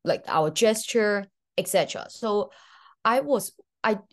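A woman's voice: mid-range voice, expressive, a little slow. A young woman with a Hong Kong accent, a podcast guest telling her story in a casual, hesitant way with many fillers and restarts. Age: young adult. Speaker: podcast guest, graduate student.

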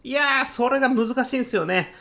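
A man's voice: very high-pitched, brisk, creaky, pushed and bright, exaggerated voice. A man acting as an earnest, overzealous young salesman who moonlights as a food courier in a comic radio drama, speaking in eager, casual-polite salaryman style. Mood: earnest, excited.

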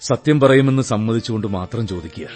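An actor playing a wounded, world-weary young husband in a radio drama, sardonic and melancholy, speaking in measured phrases. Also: male voice, mid-range voice, animated, a little mellow, faint music behind, close to an everyday voice. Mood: melancholy, probing. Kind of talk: Malayalam radio drama acting.

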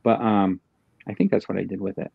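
A man telling anecdotes in casual conversation, hesitant and halting, on a slightly dull lo-fi recording. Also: sits low, fairly even intonation, gravelly, slightly rough, no echo. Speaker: panelist on a fan livestream.

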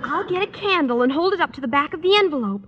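An earnest teenage girl in a vintage radio comedy. Her voice is extremely high and girlish, eager in delivery, on a dull old recording. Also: lively intonation, fast, heavy background noise. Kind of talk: 1940s-50s radio comedy acting.

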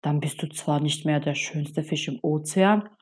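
Woman doing a deep-voiced wise octopus. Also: darkish tone, breathy edge. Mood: solemn. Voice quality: deep voice, slow and solemn. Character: wise old octopus in a dark cave.

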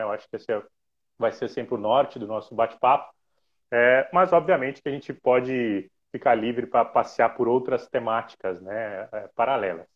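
A man hosts a casual podcast, joking with his guests in a relaxed way. His voice has an ordinary middle pitch, and the recording sounds a little dull and muffled. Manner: relaxed, teasing, many fillers. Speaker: podcast host.

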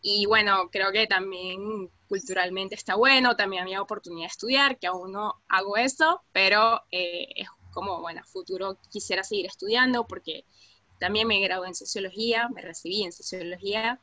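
A woman's voice: medium pitch, normal speed, no room sound. A young Venezuelan woman from Caracas with a Venezuelan accent, chatting casually as a podcast guest with lots of fillers. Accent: Venezuelan Spanish, Caracas. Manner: relaxed, lots of fillers, laughs easily.

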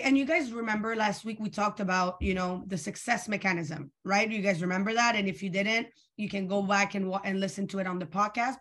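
A young woman leading a live online coaching session, energetic and asking her audience questions, her voice a little tired and worn. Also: a little high. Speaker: coach leading a weekly training call.

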